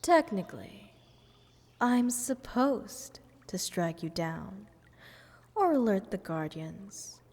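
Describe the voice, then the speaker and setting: A woman voices a possessive goddess of stars in a fantasy audio drama. She speaks very slowly and teasingly, with a breathy softness that slips into whispers. Her condescending words ring out in a long, hall-like reverb. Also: mid-range voice, very animated, full character voice. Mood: mocking pity. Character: playful, selfish, possessive goddess of stars toying with mortals. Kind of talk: scripted fantasy audio roleplay addressed to the listener.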